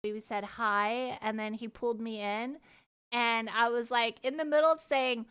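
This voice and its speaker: A woman livestreamer casually chatting with her viewers and telling personal stories in an animated, candid, giggly way, swearing freely. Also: fairly high pitch, a little slow, clear, resonant tone. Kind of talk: casual chat and personal storytelling.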